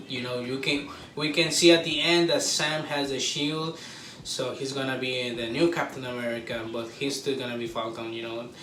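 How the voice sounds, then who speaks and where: A man giving a casual, excited fan review to camera, rambling informally and repeating himself. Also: mid-range voice. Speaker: video creator reviewing a film. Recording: roomy echo, distant mic, faint noise.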